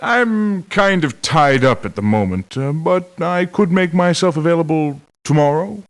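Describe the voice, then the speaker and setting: An actor playing a dignified, proud doctor turned mercenary in a video game, speaking in formal, stern phrases. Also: male voice, a little high, animated. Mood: polite, reserved. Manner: formal, measured wording, reproachful. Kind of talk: video game voice acting, short scripted lines.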